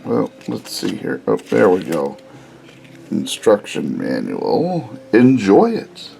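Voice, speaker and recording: A man with a scratchy voice giving a relaxed, casual hands-on review of a cheap car stereo, explaining each step with plenty of pauses and "uh"s. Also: on the low side, creaky. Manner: relaxed, unhurried explaining with frequent "uh"s. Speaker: car audio hobbyist reviewer.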